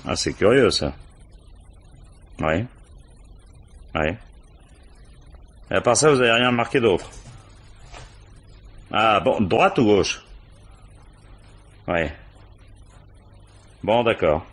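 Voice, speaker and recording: An actor playing a brusque, authoritative French police boss in a 1950s-style radio mystery, with curt colloquial phrasing. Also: male voice, fairly low pitch, lively intonation, slow, long pauses, fairly bright tone, breathy edge, heavily acted. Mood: pondering. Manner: curt, authoritative, colloquial, frequent "bon" and "hein".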